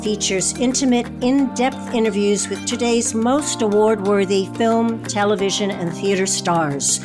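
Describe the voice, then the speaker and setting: A woman podcast host reads scripted announcements in a mid-pitched voice. Music plays clearly behind her as she speaks. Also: measured pace.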